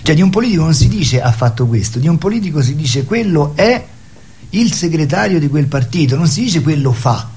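Emphatic, polemical man in halting conversation. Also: fairly high pitch, big pitch swings, a little mellow. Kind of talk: impassioned opinionated conversation.

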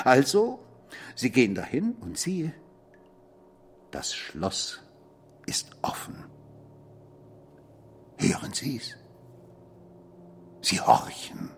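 An actor playing an insinuating police commissioner in a radio play, interrogating with theatrical, needling storytelling and pointed pauses. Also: male voice, mid-range voice, sing-song, slight background noise. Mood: insinuating, suspenseful. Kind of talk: German radio play acting.

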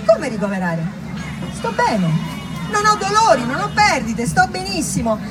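A woman's voice: high-pitched, big pitch swings, a little slow, far from the mic, loud music behind the voice, over a noisy crowd, pitched above the performer's own voice, rough recording. A woman playing a hopeful, patient pregnant woman in an earnest theatrical monologue. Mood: alarmed.

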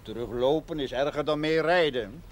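An actor playing a learned, genial village schoolmaster, formal and gently teasing, in a television period drama. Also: male voice, on the high side, moderate pace, lightly acted. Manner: formal, dryly witty. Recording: noisy old TV soundtrack.

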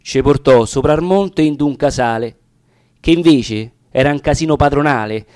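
A man with a Roman accent reciting narrative dialect verse theatrically, with deliberate, expressive pauses. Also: mid-range voice. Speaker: actor reading poetry.

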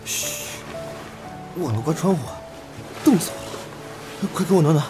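A man's voice: on the high side, lively intonation, normal speed, music in the background, natural voice. A man playing a teasing, flippant young idol in a Chinese audio drama, talking in playful banter. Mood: playful, cheeky.

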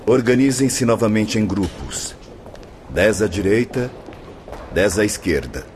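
A man's voice: lowish voice, lively intonation, unhurried, darkish tone, a little hiss or hum. An actor playing an orderly, polite male hospital assistant in a radio drama, giving instructions in Portuguese with a Brazilian accent. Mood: courteous, directing.

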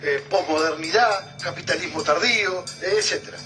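An actor playing an earnest, bookish war chronicler with a halting, intellectual manner in a radio drama. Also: male voice, high-pitched, far from the mic, over music, close to an everyday voice. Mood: pensive.

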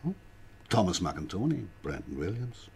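An actor playing a sly, patronising police inspector who questions a prisoner with drawn-out, needling questions in a radio drama. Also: male voice, sits low, sing-song, vocal fry, a little mellow, husky, heavily acted. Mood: mock friendly.

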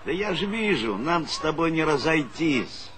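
A man's voice: a little high, sing-song, moderate pace, a bit bright, slight background noise. An actor playing a hearty, middle-aged collective farm chairman with a folksy, businesslike manner in a stage comedy. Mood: persuasive, businesslike. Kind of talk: Soviet stage comedy acting.

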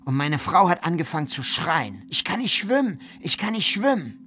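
Ein Schauspieler spielt einen Ehemann, der in einem dramatischen Monolog eindringlich und entschlossen von seiner Flucht vor einer Flut erzählt. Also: male voice, fairly high pitch, sing-song, moderate pace, bright, forceful tone, slight background noise. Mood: tense recounting. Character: married man recounting a flood escape, urgent and determined. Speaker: radio drama actor. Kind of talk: dramatic first-person survivor monologue.

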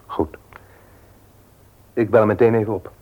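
An actor playing a mild, loving but cautious husband in a radio play, speaking in a measured, questioning way. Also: male voice, fairly low pitch, fairly even intonation, lightly acted. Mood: cautious, doubtful. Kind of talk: Dutch radio play acting. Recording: muffled old radio play recording.

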